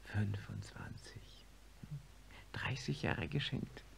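An actor playing a wealthy, impatient industrialist in a radio drama, with a commanding manner. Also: male voice, lowish voice, animated, takes it slowly, gravelly, in a whisper, heavily acted. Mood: smug, elated. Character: wealthy industrialist reborn in a young body, imperious, impatient. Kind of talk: German radio drama acting. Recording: hissy radio play recording.

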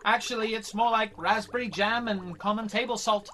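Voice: medium pitch, steady tone, moderate pace, pushed and bright, slight background noise, lightly acted. A performer playing an irritable, condescending physics professor in a radio comedy drama. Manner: stern, exasperated, condescending. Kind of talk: audio drama acting.

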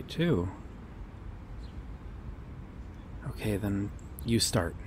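Man playing a slow-talking, guarded police detective. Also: mid-range voice, darkish tone, a little hiss or hum, lightly acted. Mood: hesitant. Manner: halting, deliberate, with long pauses. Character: earnest, guarded male police detective questioning someone he cares for. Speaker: voice actor in an audio roleplay. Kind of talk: ASMR roleplay drama, acted one-sided dialogue.

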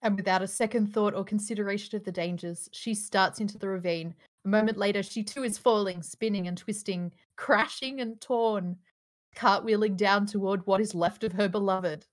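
A woman's voice: medium pitch, fairly even intonation, moderate pace. A woman reading scripted stage directions as narrator of a table read over a video call.

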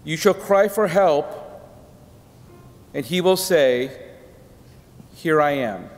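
A middle-aged man reading scripture as a lector at a lectern in a large church, with measured, solemn pacing. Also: high-pitched. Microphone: lectern microphone. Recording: long church reverb.

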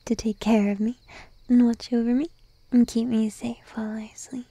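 A woman voicing a shy, needy young sheep-girl girlfriend in an intimate ASMR roleplay, soft, hesitant and drowsy, mostly whispering. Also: a little high, level tone, no room sound. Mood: tender, needy. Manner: timid, hesitant, drowsy, slow and cooing.

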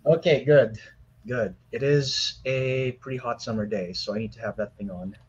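A man in his thirties speaking as a debate guest from his home over a video call, polite and measured. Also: medium pitch, fairly even intonation, breathy edge, lo-fi sound. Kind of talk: formal debate opening. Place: home room with a fan running.

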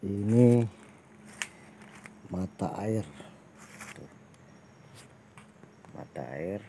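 A man's voice: lowish voice, drawn-out words, dark tone, slight background noise. A man narrating an outdoor vlog in a chatty way, addressing viewers as friends. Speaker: bushcraft vlogger.

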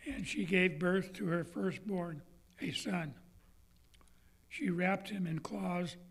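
An older man with laryngitis, reading scripture aloud at a church lectern microphone in a measured, careful way, his throat catching now and then. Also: high-pitched, fairly even intonation, husky, rough recording. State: hoarse from laryngitis. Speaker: scripture reader. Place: church sanctuary, indoors. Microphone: lectern microphone on a stand.

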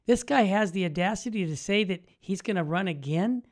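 A man's voice: high-pitched, animated, a little fast. An older man talking emphatically and conversationally as an opinionated radio host, close on a studio mic. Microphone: studio mic on boom arm, headphones.

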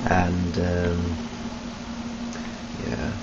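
A young man from Sweden with a Swedish accent, introducing himself hesitantly to his webcam in a small room at home, with many pauses and restarts. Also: low voice, monotone. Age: young adult. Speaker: vlogger. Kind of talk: casual self-introduction to webcam. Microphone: webcam at close range. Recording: dull lo-fi webcam audio with hiss.

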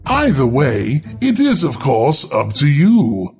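A man's voice: medium pitch, huge pitch swings, slow, a little mellow, soft background music. A man reading scripted, darkly humorous narration in a wry, theatrical instructional manner.

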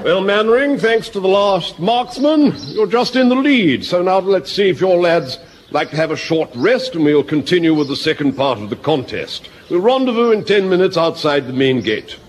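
A clipped army colonel, commanding, lively high voice. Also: male voice, moderate pace, a little hiss or hum. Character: crisp, authoritative male army colonel.

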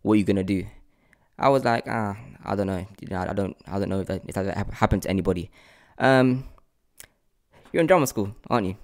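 A man's voice: on the low side, brisk, pauses often. A young man with a London accent hosting a casual interview, effusive and repeating words for emphasis. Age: young adult. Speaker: podcast host, actor. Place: indoor room at home, video call. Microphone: large desk microphone up close.

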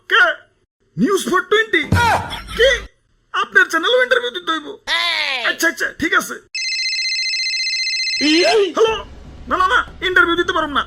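A woman voicing a vain, excitable lizard boss in a comic cartoon, theatrical and exclamatory, with a Bangladeshi accent. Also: very high-pitched, background noise. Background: background music.

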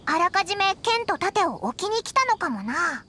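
A woman playing a small, blunt, excitable childlike fairy companion in a game, with a squeaky voice. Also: normal speed, slight background noise, exaggerated voice. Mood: suspicious. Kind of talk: Japanese game character acting. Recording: game music behind.

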